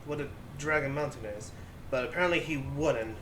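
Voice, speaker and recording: A man giving a halting, improvised story recap as game commentary, recorded from a distance in a roomy space. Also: mid-range voice, fairly bright tone. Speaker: gaming video maker. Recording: roomy, distant mic with faint noise.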